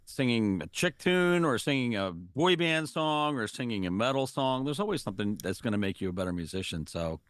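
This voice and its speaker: A man's voice: animated, a little fast, few pauses, dry recording, studio quality. A middle-aged man, a musician guest, chatting casually with lots of fillers and restarts. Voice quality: low singing voice. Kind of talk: casual interview chat.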